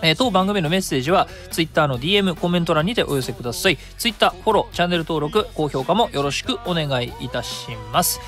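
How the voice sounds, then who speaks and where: A man's voice: a little high, animated, fast talker, hardly any pauses, a bit bright. A man hosting a web radio show solo in a casual, chatty style, often interrupting himself and voicing his own thoughts. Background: background music.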